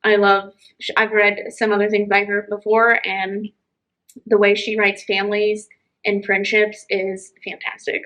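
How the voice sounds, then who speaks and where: A woman's voice: medium pitch, monotone, a little slow, a bit bright, poor audio. A woman chatting casually and enthusiastically as she recommends books as a co-host. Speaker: library book show co-host. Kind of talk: casual book recommendation chat.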